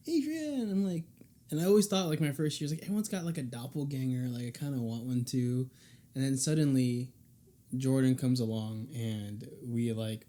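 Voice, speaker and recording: A man's voice: medium pitch, warm tone. A young man hosting a podcast, asking questions in a playful, jokey way. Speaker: podcast host. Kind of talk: casual interview chat.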